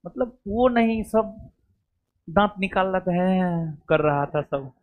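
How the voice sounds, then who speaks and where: A man's voice: high-pitched, lively intonation, slow pace, narrow, tinny audio. A man talking informally to his students in a coaching classroom, rambling and often repeating himself. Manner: chatty, rambling, repeats "theek hai". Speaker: coaching teacher.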